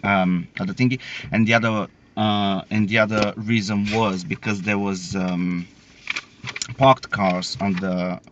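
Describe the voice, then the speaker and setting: Deep-voiced hesitant Bulgarian man. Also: little pitch movement, measured pace, noisy background. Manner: hesitant, many fillers. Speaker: learner driver. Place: inside a car. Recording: dull in-car audio.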